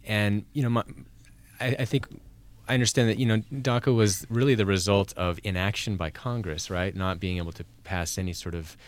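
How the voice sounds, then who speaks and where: A man's voice: fairly low pitch, fairly even intonation, a little fast. A man with an American accent, speaking as a podcast host who narrates and interviews. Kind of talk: scripted narration and interview questions.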